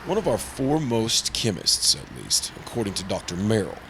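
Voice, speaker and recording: A man's voice: on the low side, huge pitch swings, moderate pace, gravelly, pushed and bright, slight background noise, exaggerated voice. A man playing a prim, earnest, easily flustered insurance broker in old-time radio drama style. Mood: earnest, exasperated. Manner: prim, formal, exasperated. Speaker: supporting actor in a radio play.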